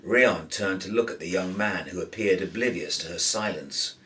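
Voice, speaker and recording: A man reading a novel aloud as an actor, in expressive storybook narration. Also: low-pitched, moderate pace, far from the mic, slight background noise.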